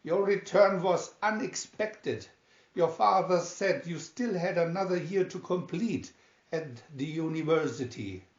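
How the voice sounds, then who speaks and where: A man's voice: on the high side, a little slow, reverberant, muffled recording, voice pushed up. Man putting on a sneering Spanish commander, raised voice. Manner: formal, sneering, condescending. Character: sneering Spanish military commander, smoothly menacing villain. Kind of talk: storybook character reading.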